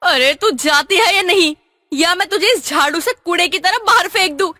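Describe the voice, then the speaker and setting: A woman's voice: normal speed, bright, forceful tone, no echo, clean studio sound. A woman voicing an angry, scolding middle-aged auntie in a cartoon story. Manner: shrill scolding, harsh.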